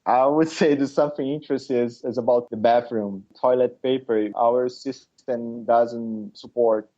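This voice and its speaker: A middle-aged man from Brazil with a Brazilian accent, a podcast guest talking casually, halting with many fillers and restarts. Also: medium pitch, steady tone, mellow, muffled recording. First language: Portuguese. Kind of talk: casual interview chat.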